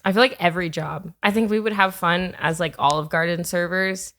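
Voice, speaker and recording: A woman co-hosting a podcast in casual chat, chatty and laughing often, with quick asides. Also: fairly low pitch, fairly even intonation, a bit bright. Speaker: podcast co-host.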